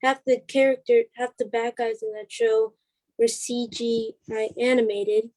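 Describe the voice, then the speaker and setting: A woman's voice: fairly high pitch, unhurried, a little mellow, rough recording. A woman chatting casually as an interview guest, speaking with emphasis. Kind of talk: casual chat.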